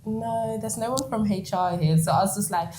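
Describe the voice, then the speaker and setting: A woman in her twenties from the Netherlands, telling her story as a guest in an interview, animated and quick to laugh. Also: mid-range voice, slight background noise. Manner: animated, laughs often, acts out quoted lines.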